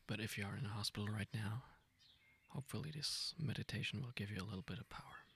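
Low, gravelly man guiding a meditation. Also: slight background noise. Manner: gentle, unhurried, informal with self-corrections. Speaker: meditation guide, podcast host. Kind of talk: guided meditation, soft intimate talk.

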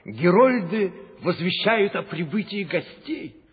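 An old husky tutor, worried and polite, voice jumps around, tinny hall-y radio play sound. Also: male voice, high-pitched, animated, slow, very noisy. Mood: worried, respectful. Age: older. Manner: respectful, anxious reporting. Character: old male tutor to a prince, devoted and worried. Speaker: court servant in a radio play. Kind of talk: classic radio play acting. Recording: tinny old recording, long reverb, music.

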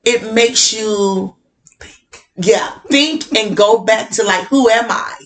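A woman's voice: a little high, takes it slowly. A woman co-hosting a casual talk show, chatty and laughing often, calling people honey. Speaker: podcast co-host. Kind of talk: casual chat. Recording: music playing underneath. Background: music.

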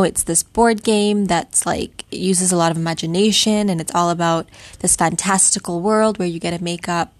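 A woman's voice: fairly low pitch, no echo. A young woman from Canada hosting her own podcast, telling a travel story in a chatty, rambling way with small laughs. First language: Tamil. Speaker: podcast host. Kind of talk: casual storytelling monologue.

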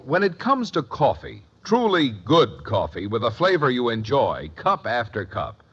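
Very animated male vintage radio announcer. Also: mid-range voice, breathy edge. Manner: emphatic, polished sales delivery. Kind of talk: vintage scripted radio advertising. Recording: dull vintage radio recording.

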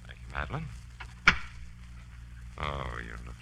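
An actor playing a suave, deliberate killer who courts women, in an old-time radio drama style. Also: male voice, extremely low pitch, wildly swooping pitch, gravelly, heavily acted. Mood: suave, seductive. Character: smooth, courting lady killer, a menacing strangler. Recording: noisy old radio recording with hum.

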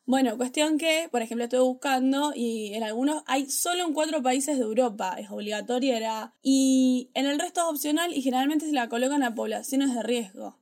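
A woman's voice: high voice, fairly quick, few pauses, a little mellow. A woman with an Argentine Spanish accent, co-hosting a casual podcast chat in a joking, ironic way. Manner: jokey, ironic, laughs often. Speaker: podcast co-host.

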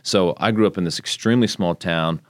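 A man's voice: low-pitched, a little fast, no room sound, clean studio sound. A man from Texas, a congressional candidate, chatting casually in an interview, rambling and storytelling about faith. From Texas, United States.

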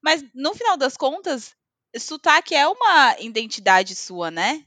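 Brazilian woman, Brazilian Portuguese accent, casual chat, halting with fillers, bright tone, dry recording. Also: high voice, sing-song, dry recording. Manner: hesitant, many fillers. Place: living in Scotland.

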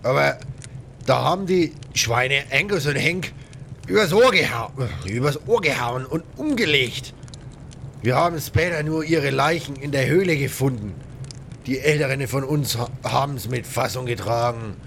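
A man voicing a rough, chatty pirate in a game audio drama, rambling in coarse colloquial speech with grudges. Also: lively intonation, normal speed, fairly bright tone. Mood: gruff, aggrieved. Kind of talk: acted game dialogue, colloquial storytelling. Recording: faint rumbling background noise.

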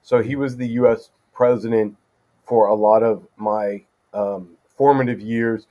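A middle-aged man from California, a judge as podcast guest, answering in a thoughtful, measured way with frequent fillers. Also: fairly low pitch, fairly even intonation, phone-quality sound. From California, USA. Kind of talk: casual interview conversation.

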